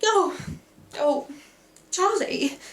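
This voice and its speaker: A woman's voice: very high-pitched, very slow, full character voice. A woman playing a prim, determined and affectionate lady adventurer in a radio play, with proper, fluttery delivery. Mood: hurt, indignant. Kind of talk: old-time radio play acting. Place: live theatre stage. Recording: roomy live stage sound.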